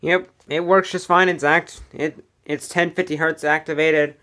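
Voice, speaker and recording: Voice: medium pitch, fairly even intonation, moderate pace, sharp tone, slight background noise. Casual, rambling American hobbyist presenter. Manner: rambling, informal, matter-of-fact. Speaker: weather radio collector presenting a collection. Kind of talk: casual product showcase vlog. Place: indoor room at home.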